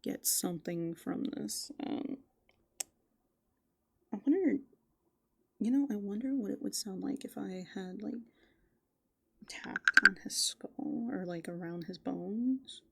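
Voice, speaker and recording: A woman doing improvised ASMR roleplay, softly and playfully musing aloud, slipping into breathy whispers close to the microphone. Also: medium pitch, slow pace, long pauses, a little hiss or hum. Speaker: ASMR performer in a raccoon avatar persona.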